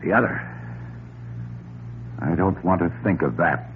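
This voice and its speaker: Deep-voiced anxious man, old radio mystery. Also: measured pace, a little hiss or hum. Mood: brooding. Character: anxious guilt-ridden man under blackmail, narrating his own story. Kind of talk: 1940s radio mystery acting. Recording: tinny old radio recording, loud music.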